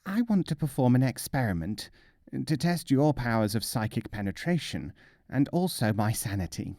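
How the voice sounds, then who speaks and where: A wry, self-assured trickster mullah from a storybook, acted out by a male narrator. He speaks in a mellow, deliberate, teasing way, with big swings of pitch. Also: sing-song, dry recording, clean studio sound. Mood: musing. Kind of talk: storybook character voice.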